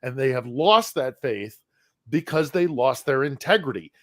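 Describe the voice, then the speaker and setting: A man's voice: medium pitch, animated, normal speed, no room sound, studio quality. A man speaking as a guest correspondent from Ukraine in a casual live-stream conversation, earnest and often repeating words while he searches for them.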